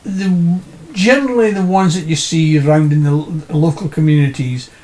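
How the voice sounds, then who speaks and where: A man's voice: a little high, normal speed, dark tone. A man talking casually and conversationally in an interview.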